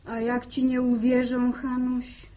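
An actress playing a talkative, sharp-tongued middle-aged village mother in a 1960s radio drama. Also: a little high, completely flat delivery, unhurried, lightly acted, rough recording. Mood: pointed. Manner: chatty, repeats phrases, rural dialect. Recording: old 1960 radio drama recording.

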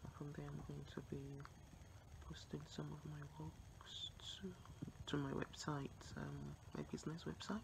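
A woman in her thirties chatting casually to her vlog audience in halting, unhurried phrases, recorded on a phone at home. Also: deep voice, little pitch movement, gravelly. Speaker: vlogger. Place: small indoor rooms at home, kitchen. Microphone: phone at arm's length. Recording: noisy handheld phone recording with room ambience.

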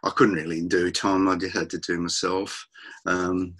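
Aussie muso guy, really low voice, lots of ums and restarts, video call from home. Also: flat delivery, fairly bright tone, breathy edge. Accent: Australian. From Australia. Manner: halting, many restarts and fillers, laughs often. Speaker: musician guest. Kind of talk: casual interview over video call. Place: home music room, indoors.

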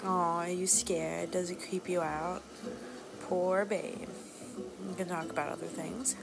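Woman playing a low, creaky, flirty bar hostess. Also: flat delivery, unhurried, poor audio. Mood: teasing. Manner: casual, teasing, drawling asides. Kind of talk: soft-spoken ASMR roleplay. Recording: music behind the voice.

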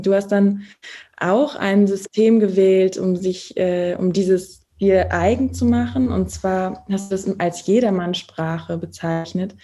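Moderator: a woman with a mellow, dark voice, asking long probing questions over a video call. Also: mid-range voice. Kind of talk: moderating a panel talk.

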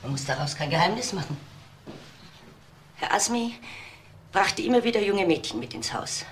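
An actress playing a frank, gossipy house cook in a crime radio drama, telling things matter-of-factly. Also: female voice, lowish voice, big pitch swings, normal speed, a little hiss or hum.

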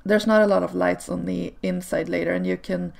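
Gravelly-voiced woman chatting over gameplay. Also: fairly low pitch, fairly quick. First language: Swedish. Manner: relaxed and chatty, with frequent ums and small laughs. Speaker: video creator. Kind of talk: casual voice-over commentary on gameplay. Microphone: home desk microphone.

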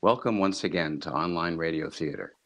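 Deep, creaky-voiced man introducing a show. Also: brisk, fairly bright tone. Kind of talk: scripted introduction.

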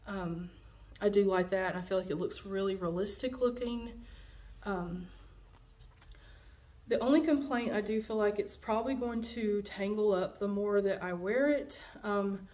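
A young woman with a cold, a little nasal, chatting through a wig review at home with lots of fillers, recorded on a camera in front of her. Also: mid-range voice. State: has a cold, slightly nasal. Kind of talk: casual product review vlog. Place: dim room at home, indoors.

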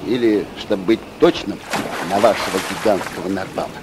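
An actor in a radio play voicing a bold, hot-tempered harpooner with a blunt, forceful manner. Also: male voice, low voice, a little fast, very noisy, natural voice. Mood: brisk.

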